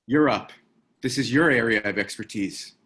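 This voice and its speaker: An actor at a table read playing a gruff, weary ex-military man who talks tersely and gives orders. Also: medium pitch, moderate pace, a bit bright. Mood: matter-of-fact. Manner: terse, commanding. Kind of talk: screenplay table read acting.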